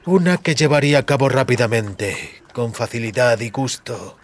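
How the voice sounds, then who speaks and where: A man's voice: mid-range voice, normal speed. A man narrating a fantasy novel as a dramatized audiobook.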